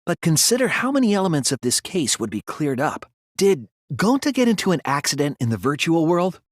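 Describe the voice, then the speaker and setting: A man playing an earnest, analytical young male detective in an English video game dub, reasoning deliberately and articulately. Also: on the high side, big pitch swings, no echo, studio quality. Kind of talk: English game dub, dramatic courtroom deduction.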